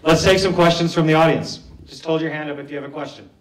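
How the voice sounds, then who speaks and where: A man moderating a film festival Q&A. He talks briskly and affably, calling on the audience and dropping in short remarks. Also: fairly high pitch, fairly even intonation. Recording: echoey hall, voice from far off.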